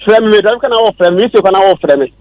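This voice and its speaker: Male Ghanaian radio participant, high voice, quick heated replies with halting repetitions of words. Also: expressive, a little mellow, dry recording, dull audio. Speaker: participant questioned on a radio show.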